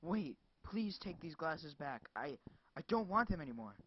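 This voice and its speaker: A man voicing a frightened, furious woman who is cornered by strangers, with a shrill, panicky delivery. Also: a little slow, slight background noise, natural voice. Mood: pleading. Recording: dull lo-fi dry recording.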